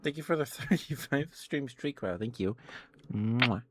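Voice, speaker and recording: A soft-spoken man hosting a casual ASMR livestream, talking to viewers in an unhurried, affectionate way. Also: mid-range voice, expressive, heavy background noise. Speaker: streamer, host of the show.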